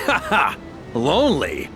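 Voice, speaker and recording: An actor playing a hearty, cheeky knight grandmaster in a fantasy game, with confident theatrical delivery. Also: male voice, fairly high pitch, wildly swooping pitch, slow, full character voice. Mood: amused, defiant. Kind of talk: dramatic video game voice acting. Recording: clear dramatic music behind.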